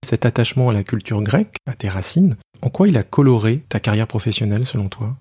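A man's voice: on the low side, animated, quick pace, dark tone, slightly rough. A man hosting an interview podcast, presenting his guest and putting questions. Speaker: podcast host, professional coach. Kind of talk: scripted introduction and interview questions.

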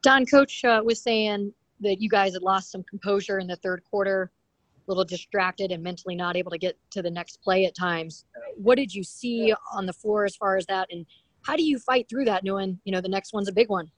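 A woman's voice: medium pitch, a little fast, dry recording, low-quality recording. A woman working as a TV sports reporter, putting a question at a press conference.

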